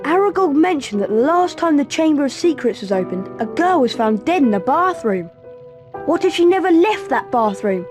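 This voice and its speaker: Game role: young British schoolboy, high, animated, earnest and urgent. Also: female voice. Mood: alarmed, urgent. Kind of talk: video game character acting.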